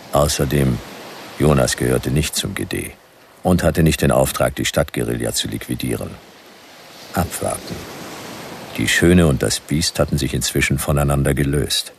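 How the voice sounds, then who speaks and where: An actor playing a hard-boiled detective who narrates his own story in clipped, deadpan noir radio drama style, with a gravelly voice. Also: a bass voice, normal speed, slight background noise, full character voice. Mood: dry, laconic. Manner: deadpan, dry.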